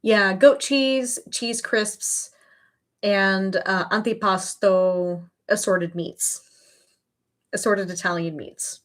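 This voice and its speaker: Early 30s woman just chatting on a livestream, mid pitched voice, kinda gushy and emphatic. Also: fairly even intonation, slow. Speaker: livestream host. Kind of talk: casual livestream chat.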